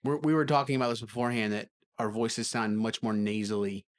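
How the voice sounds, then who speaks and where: A man who is a lead pastor chatting in a relaxed, easygoing way on a podcast. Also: lowish voice, a little fast. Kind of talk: casual chat.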